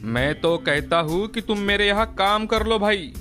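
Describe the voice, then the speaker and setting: A selfish storybook orchard owner, acted by a man, coaxes with false friendliness. The voice is high for a man and patronizing, over clearly audible music. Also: measured pace, pushed and bright. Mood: coaxing. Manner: coaxing, patronizing. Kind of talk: animated moral story voice acting.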